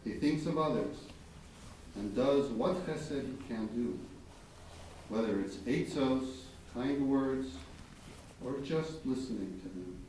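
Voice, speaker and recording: Slow, deliberate man in an echoey room. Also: medium pitch, darkish tone. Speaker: eulogy reader. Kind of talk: solemn read-aloud eulogy. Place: large reverberant room at a memorial gathering. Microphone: distant microphone. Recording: echoey, distant, faint background noise.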